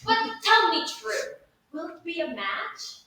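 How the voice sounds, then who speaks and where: A woman's voice: very high-pitched, big pitch swings, slow, full character voice. A woman playing a teasing, amused young servant man in Shakespearean stage banter. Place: indoor staged reading, recorded from audience distance. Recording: echoey hall, distant mic, loud noise.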